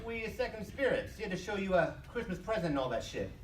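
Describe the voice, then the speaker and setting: A woman's voice: medium pitch, big pitch swings, fast talker, lightly acted. A woman playing an impatient, tough-talking Christmas spirit in a live audio drama, slangy and sarcastic. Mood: wisecracking. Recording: echoey live stage, distant, noisy.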